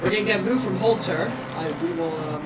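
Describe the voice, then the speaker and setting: A man's voice: high voice, fast talker. A man co-commentating a sim race in a playful, teasing manner. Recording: echoey, distant mic with loud engine noise.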